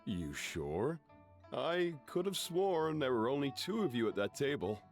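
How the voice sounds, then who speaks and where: Animated, easygoing busy chef. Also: male voice, medium pitch, unhurried, vocal fry, faint music behind. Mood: puzzled. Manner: casual, animated. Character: busy, easygoing restaurant chef, male, forgetful and affable. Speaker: restaurant chef. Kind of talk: video game character acting.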